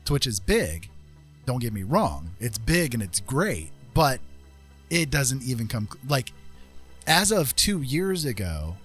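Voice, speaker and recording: Man, casual streamer chat, mid pitch, rambling and emphatic, music playing behind. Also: very animated, slow pace, a bit bright. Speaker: streamer host. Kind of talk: casual livestream chat, explaining.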